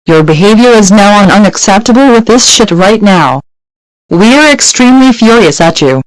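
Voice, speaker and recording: A woman's voice: medium pitch, animated, moderate pace, no echo, close to an everyday voice, low-quality recording. An angry female clone, voiced by a woman, fires off cursing accusations in a homemade courtroom drama. The recording is dry and close. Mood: furious. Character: furious female clone witness.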